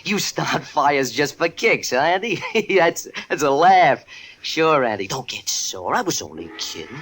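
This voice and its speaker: An actor playing a sly, needling pool-room hustler in slangy, taunting radio suspense style. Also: male voice, on the high side, sing-song, unhurried, a bit bright, slight background noise.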